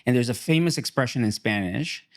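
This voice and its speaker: A man's voice: medium pitch, animated, a little fast. A Mexican Canadian man hosting an interview, asking thoughtful questions in a casual tone. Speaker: host.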